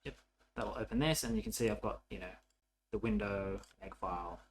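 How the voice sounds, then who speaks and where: A man's voice: fairly low pitch, brisk, a little hiss or hum. A man narrating a casual coding tutorial as an instructor, informal and thinking aloud as he works.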